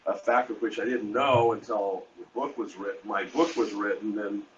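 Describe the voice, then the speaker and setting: Older guy w a deep voice rambling thru old stories, halting, dull live stream sound, bit of room noise. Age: 60s or older. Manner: rambling, halting anecdotes with quoted dialogue. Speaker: artist being interviewed. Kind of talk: casual storytelling at a live event. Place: hotel event space.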